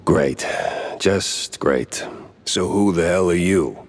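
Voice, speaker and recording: An actor playing a world-weary, wisecracking mouse private detective in a noir video game, gruff, gravelly and deadpan. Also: male voice, low voice, takes it slowly, slight background noise. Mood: wry. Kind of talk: noir game cutscene acting.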